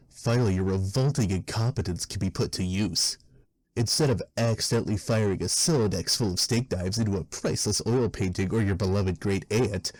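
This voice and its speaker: A man voicing a foul-mouthed, sneering textbook author in a cartoon fan dub, husky and wordy, weary of his readers. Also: lowish voice, a little mellow, no echo, rough recording. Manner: scornful, verbose. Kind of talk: fan dub character narration.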